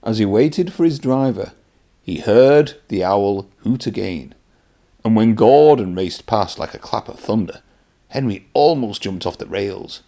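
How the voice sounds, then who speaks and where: A man narrates a children's storybook in a mellow voice with a touch of gravel. His pitch moves up and down in an animated way, shaped for young listeners. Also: measured pace. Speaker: children's read-aloud presenter and narrator.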